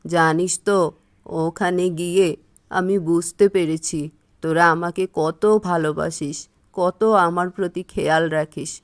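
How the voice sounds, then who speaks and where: A woman's voice: lowish voice, level tone, a little slow. A woman voicing a plaintive, remorseful elderly mother-in-law in a cartoon moral story.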